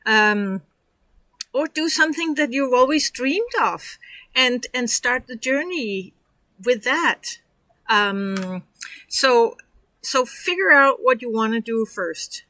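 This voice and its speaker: A woman's voice: on the high side, expressive, slow, pushed and bright, no room sound. A woman from Denmark with a Danish accent, walking viewers through a tutorial in a chatty, encouraging way with lots of fillers. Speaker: online course instructor. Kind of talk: casual screen-share tutorial.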